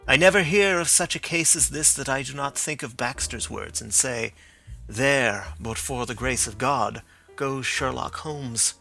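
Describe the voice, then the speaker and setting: A man voicing a grave, thoughtful male detective who speaks in a measured, solemn way. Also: mid-range voice, creaky, sharp tone, faint music behind.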